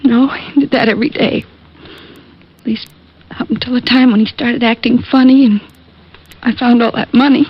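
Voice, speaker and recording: High, breathy, grieving young sister. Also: female voice, steady tone, normal speed, long pauses. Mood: subdued. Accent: American. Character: distressed, grieving sister of a murdered man. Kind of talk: 1940s-50s radio drama acting. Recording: muffled old-time radio recording.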